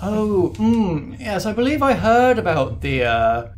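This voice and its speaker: A man's voice: high voice, sing-song, unhurried, voice pushed up. A man voicing a refined, snooty male satyr on a train, speaking in a prim, genteel manner. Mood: helpful. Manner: prim, genteel, faintly pompous.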